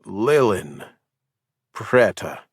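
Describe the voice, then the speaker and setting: Haunted, drugged Southern mental patient, gruff slow drawl, low voice, as a narrator puts him on; audiobook figure. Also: male voice, very animated, no room sound, studio quality, full character voice.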